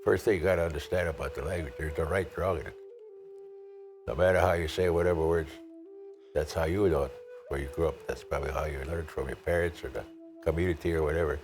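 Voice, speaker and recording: An older man speaking plainly in an interview, with a gravelly voice and hesitant restarts. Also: a bass voice, quick pace, over music. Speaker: community elder.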